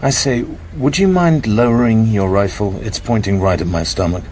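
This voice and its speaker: An actor playing a cool, understated British secret agent in a radio drama, with a British accent and measured delivery. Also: male voice, on the low side, background noise, close to an everyday voice. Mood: dry.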